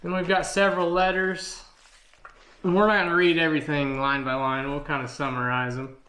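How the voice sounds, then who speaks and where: A man's voice: a little high, level tone, fairly quick, pushed and bright. Plainspoken American man in an echoey room. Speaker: co-host, father helping present a family channel. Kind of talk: casual chat to viewers. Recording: echoey, roomy home recording.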